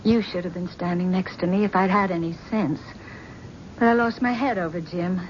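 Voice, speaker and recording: An actress playing an anxious middle-aged wife who speaks in a halting, pleading way. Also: female voice, fairly low pitch, slight background noise, lightly acted. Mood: regretful. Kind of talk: 1970s radio drama acting. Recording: dull vintage radio broadcast.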